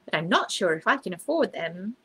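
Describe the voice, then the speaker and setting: A woman's voice: fairly low pitch, sing-song, a little fast, pushed and bright, breathy edge, heavily acted. A woman voicing a polite, innocent, eager young male bear in a children's storybook. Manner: earnest, polite, naive. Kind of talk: children's storybook read aloud.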